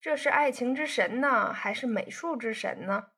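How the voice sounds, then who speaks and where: A woman's voice: fairly high pitch, moderate pace, fairly bright tone, slightly rough. A woman reading a teasing young rich man. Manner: bantering, coaxing.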